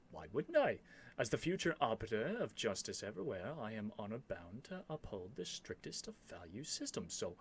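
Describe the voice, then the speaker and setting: A man's voice: medium pitch, lightly acted. A man playing a young royal prince in a scripted ASMR roleplay, earnest and lovestruck, speaking in formal, courtly phrases with haughty airs and flustered hesitations. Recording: faint background noise.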